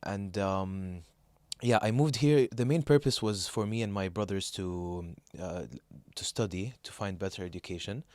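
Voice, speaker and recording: A man's voice: on the low side, moderate pace, darkish tone, no echo. A young man from Saudi Arabia co-hosting a podcast, chatting casually and telling stories in a hesitant, rambling way. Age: young adult. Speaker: podcast co-host. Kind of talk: casual chat, telling personal anecdotes.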